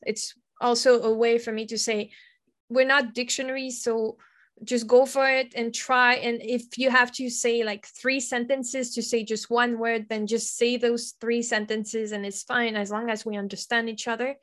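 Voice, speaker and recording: Woman, higher voice, thinking out loud, restarts a lot. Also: flat delivery, normal speed. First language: French. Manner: reflective, searching for words. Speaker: secondary school teacher, guest. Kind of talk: conversational podcast interview.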